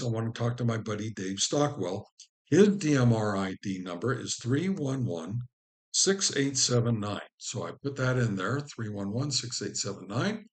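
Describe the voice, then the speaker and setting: A man with a mid-range voice hosts a how-to tutorial. He explains each step informally and speaks straight to the viewer as he instructs. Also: medium pitch. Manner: instructional, addresses the viewer directly. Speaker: host of a ham radio how-to channel.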